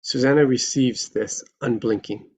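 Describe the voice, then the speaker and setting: A narrator reading screenplay stage directions at a table read, in scripted present-tense narration with a husky voice. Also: mid-range voice, moderate pace.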